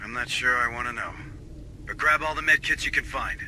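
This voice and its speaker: A wry marine sergeant. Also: male voice, medium pitch, fairly quick, bright, forceful tone, a little hiss or hum, rough recording. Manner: dry, sarcastic, commanding. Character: wry gruff male marine sergeant, middle-aged. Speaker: marine sergeant leading a squad. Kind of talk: video game dialogue.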